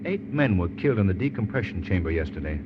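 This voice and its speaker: An actor playing a calm, preoccupied, wealthy young man about town in a 1940s radio drama. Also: sits low, quick pace. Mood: preoccupied, grave. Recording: muffled, noisy old radio recording.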